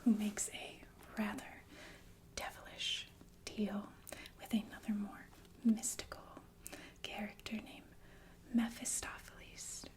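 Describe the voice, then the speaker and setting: A woman playing a sinister, falsely soothing killer nurse in an ASMR roleplay, speaking in a genteel, deliberate breathy whisper with murmured asides. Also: mid-range voice, level tone, lightly acted. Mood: refined, musing. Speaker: ASMR performer.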